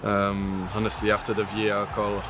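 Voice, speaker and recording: A male footballer chats casually in a documentary interview. His voice sits fairly low, with music clearly playing underneath. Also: monotone, fairly quick.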